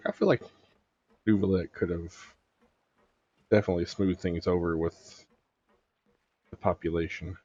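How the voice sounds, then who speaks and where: Deep, dark-voiced man streaming a game. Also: fairly even intonation, moderate pace, pauses often, dull audio. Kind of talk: casual live commentary on a game. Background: game music.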